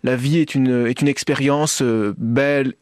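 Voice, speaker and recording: A man from Paris with a French accent, giving personal testimony as a radio guest, earnest and halting, often repeating words. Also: mid-range voice, fairly even intonation, a little mellow, dry recording, studio quality. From Paris, France. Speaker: radio guest, amateur trail runner. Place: radio studio. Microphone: studio microphone.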